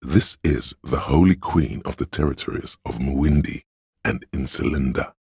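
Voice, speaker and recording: A learned, pedantic man's inner voice narrating trivia in a video game. The pitch is extremely low, with heavy gravel in it. The delivery is measured and matter-of-fact, recorded very dry and close. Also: darkish tone, dry recording, full character voice. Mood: erudite, insistent. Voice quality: very deep, gravelly, husky. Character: pedantic encyclopedic inner voice, a deep-voiced know-it-all man.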